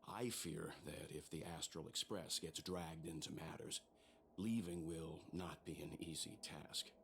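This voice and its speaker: A man playing a calm, courteous and cautious advisor in a video game, speaking formally and deliberately. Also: rumbling low, gravelly, slight background noise, full character voice. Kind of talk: scripted video game dialogue.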